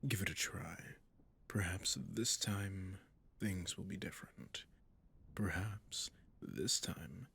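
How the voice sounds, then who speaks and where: Low, breathy, sadistic vampire lord acted by a man. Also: sharp tone, exaggerated voice. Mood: taunting. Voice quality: breathy, husky, often near-whispered. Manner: slow, formal, silky, condescending. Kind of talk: intimate scripted roleplay audio acting.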